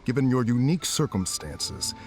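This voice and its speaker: A man playing a stern, formal law officer in a video game, with official, measured wording. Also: mid-range voice, lively intonation, over music, natural voice. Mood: measured, lenient. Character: stern, formal lawman making arrests.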